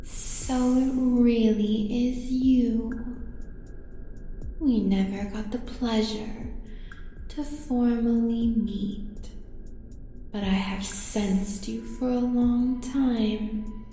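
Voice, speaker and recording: A woman's voice: on the high side, fairly even intonation, very slow, warm tone. Woman as cosmic female villain for horror audio: heavily echoed, languid, seductive, commanding, faint music behind. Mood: seductive, fascinated. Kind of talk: horror audio roleplay acting. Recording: long echoing reverb with faint music.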